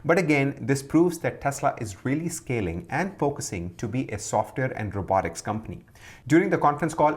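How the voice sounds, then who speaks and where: A man hosting a video, giving emphatic, scripted-sounding commentary on investing and stepping through it point by point. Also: mid-range voice. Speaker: stock commentary video host.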